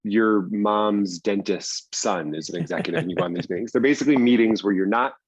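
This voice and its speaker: A man's voice: fairly low pitch, a little fast, breathy edge, no echo, low-quality recording. A man answering as an interview guest in a conversational, explanatory way, stressing points by repeating them. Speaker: guest, screenwriter and audio drama producer.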